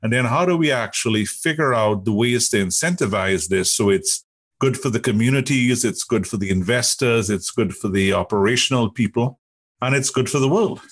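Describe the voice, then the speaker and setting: A man with a Trinidadian accent and a husky voice, explaining emphatically in conversation as an interview guest, often posing rhetorical questions. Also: medium pitch, moderate pace. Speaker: interview guest, technology executive.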